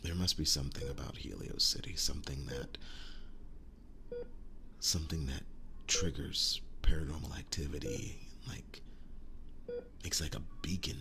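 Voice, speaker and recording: A man playing a protective, world-weary young werewolf boyfriend in an intimate ASMR roleplay, speaking in a breathy, halting hush. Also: exaggerated voice. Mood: pensive, murmuring. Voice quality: breathy, hushed, very low voice. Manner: halting, slow, soft-spoken with long pauses.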